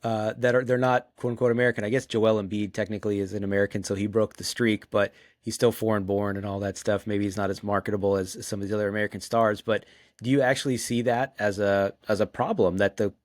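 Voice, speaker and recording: A man's voice: fairly low pitch, steady tone, quick pace, no room sound, studio quality. A man hosting a casual sports discussion in a conversational way, often posing questions. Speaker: podcast host.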